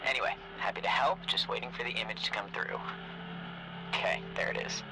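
Game role: chatty young male volunteer, casual, friendly, on the low side, clear background noise. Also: brisk. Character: friendly, slightly awkward young male app helper. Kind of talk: naturalistic game voice acting.

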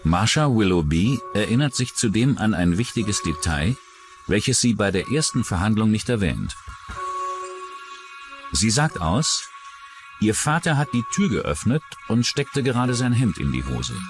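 A low-voiced man narrates a true-crime documentary from a script. His delivery moves widely in pitch, and music plays clearly behind him. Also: big pitch swings, a little slow.

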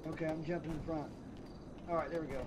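A man in casual, excitable gaming chat on a live stream, given to exclaiming. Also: fairly high pitch, fast, background noise.

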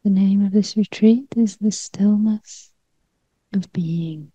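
A woman leading a guided meditation in a gentle near-whisper, with short phrases and long pauses. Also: mid-range voice, slightly rough, dry recording, dull audio.

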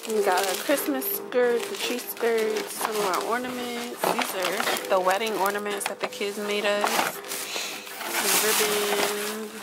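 A young woman vlogging casually to her viewers in a relaxed, chatty way, filmed on a handheld camera. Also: mid-range voice, a little slow, very noisy. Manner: relaxed, chatty, laughs often. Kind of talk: casual vlog chat to viewers. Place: outdoors at night, in a parked car and at a storage unit.

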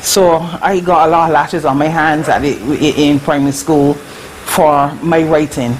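A woman from Barbados with a Barbadian accent recalls her past in an unhurried, reflective voice, pausing often with fillers. She speaks over a webcam from her living room, with a faint hiss underneath. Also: low-pitched, steady tone, poor audio. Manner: slow, reflective, halting with fillers. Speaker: interview guest. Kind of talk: casual recollection. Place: living room at home, indoors. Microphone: webcam on a video call.

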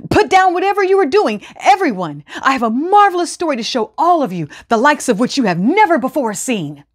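A woman voicing a pompous, excitable man who declaims self-importantly in a children's folktale. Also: sits high, fairly quick, pitched above the performer's own voice. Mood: excited.

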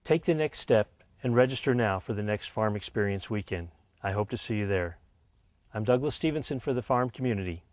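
A man's voice: on the low side, animated, a little fast, darkish tone, no room sound. A middle-aged man reading scripted promotional narration as a spokesman for a community. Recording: music behind the voice.

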